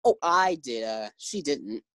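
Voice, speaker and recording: A woman's voice: rumbling low, lively intonation, a little slow, a bit bright, no room sound, studio quality, heavily acted. A woman playing bumbling young husband George. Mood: nervous. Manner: eager, bumbling. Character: young husband George, eager bank clerk. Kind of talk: 1940s radio acting.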